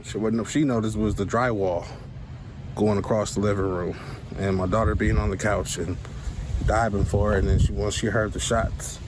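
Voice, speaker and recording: A man's voice: lowish voice, steady tone, normal speed. A man with an American accent giving a casual account as a resident interviewed for the news. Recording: noisy outdoor street interview with traffic.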